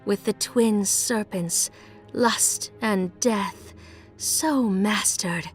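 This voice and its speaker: An exalted high priestess of a dark pleasure goddess in a fantasy game. She speaks very slowly at a middling pitch, sensual and imperious, as if chanting a rite. Music plays behind her. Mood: seductive invitation. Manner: grandiose, incantatory, sensual. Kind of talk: theatrical fantasy video game monologue.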